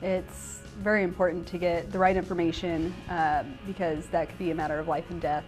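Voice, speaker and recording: Woman, police dispatcher, lowish pitch, sincere interview delivery, prominent music bed. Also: flat delivery, fairly quick, a little mellow. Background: background music.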